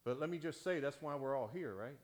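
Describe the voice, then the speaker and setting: A man, a deacon, preaching a sermon in a church with a big voice that swings from loud to hushed, speaking into a microphone he wears. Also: medium pitch, expressive, fairly quick. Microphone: wearing a microphone.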